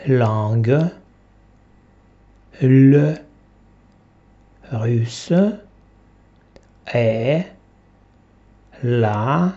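A man, a French teacher, reading a textbook dialogue aloud one word at a time with long pauses. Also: medium pitch, dark tone. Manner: reads each word separately with long pauses. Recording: dull lo-fi recording.

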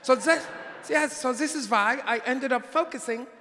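A jolly dead German composer, in a put-on German accent. The voice is very high and bright. Long hall reverb. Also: male voice, moderate pace, heavily acted.

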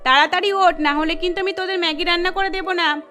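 A woman's voice: very high-pitched, fairly even intonation, quick pace, sharp tone, faint music behind. A woman voicing an exasperated, scolding middle-aged mother of two in a cartoon. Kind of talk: cartoon character voice.

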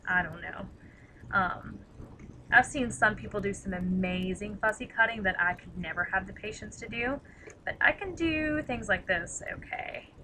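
Raspy, bright-toned chatty woman crafter. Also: medium pitch, a little hiss or hum, low-quality recording. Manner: chatty, rambling, self-deprecating. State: tired, slightly hoarse. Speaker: craft demonstrator. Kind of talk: casual livestream craft tutorial.

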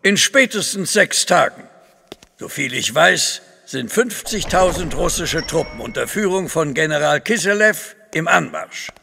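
Commanding grand duke in an echoing hall. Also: male voice, fairly high pitch, animated, measured pace, gravelly, over music. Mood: resolute, formal. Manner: formal, authoritative. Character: commanding Russian grand duke, the Tsar's brother, formal. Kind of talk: German audio drama acting. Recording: long reverb of a large hall.

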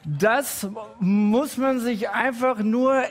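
A man in his forties doing a stand-up comedy routine with halting, hesitant delivery and deadpan timing, full of pauses and fillers. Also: extremely high pitch, a little hiss or hum. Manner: deadpan, growing excited and loud toward the end.

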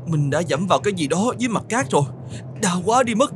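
A man voicing an excitable, self-pitying young male camel in an animated fairy tale, exclaiming and talking to himself. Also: high voice, fast, over music. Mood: distressed. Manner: exclamatory, whining, talks to himself.

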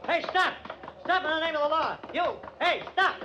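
An actor playing a gruff, bullying police detective in 1940s radio style, brusque and slangy. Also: male voice, extremely high pitch, expressive, normal speed. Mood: forceful, angry. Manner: brusque, slangy tough-guy talk. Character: gruff, bullying, impatient police detective who hates music. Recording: muffled, hissy 1940s radio recording.

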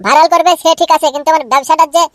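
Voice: high voice, fairly even intonation, rapid-fire, fairly bright tone, dry recording, studio quality. A performer voicing a broke, sulky, scheming young lad in a comedy cartoon. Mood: exasperated. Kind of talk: Bengali comedy cartoon dubbing.

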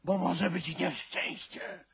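An actor playing a worried informant at an old manor in a radio drama. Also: male voice, high voice, level tone, takes it slowly, vocal fry, background noise, heavily acted. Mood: alarmed.

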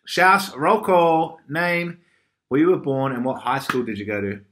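A man in his thirties with a New Zealand accent, a musician guest reading out questions plainly and chatting in a relaxed way, recorded indoors by a window on a camera at arm's length. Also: fairly high pitch, a little slow, a little hiss or hum. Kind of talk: casual chat.

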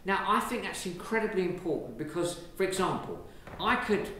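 An Englishman, a priest, giving a lively, conversational sermon from the pulpit of a large church, filmed from a distance. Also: high voice, moderate pace. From England. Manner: lively, conversational preaching with gestures. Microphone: far camera. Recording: very echoey church from far off, faint hiss.

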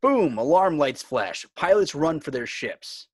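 A man's voice: a little high, very animated, unhurried, husky, no echo. Male narrator with a dry, close sound. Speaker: narrator reading stage directions. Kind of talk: dramatic scripted narration.

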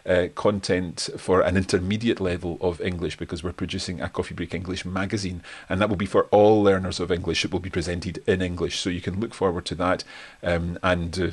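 Low-voiced Scottish man hosting a live Q&A. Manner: relaxed and friendly, thanks viewers, frequent fillers. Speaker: host. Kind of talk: live stream Q&A, reading comments. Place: home study lined with bookshelves. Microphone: desk microphone in front, webcam framing.

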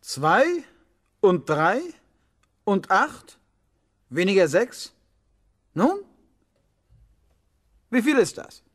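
Teacher, slow and deliberate, stern but encouraging, old radio play, swoopy pitch. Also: big pitch swings, exaggerated voice. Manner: deliberate, instructive. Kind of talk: 1970s radio play, classroom questioning.

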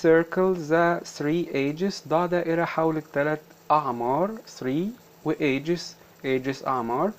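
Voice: medium pitch, a little slow. A teacher with an Egyptian Arabic accent explains a children's workbook, repeating phrases word by word and switching between English and Arabic.

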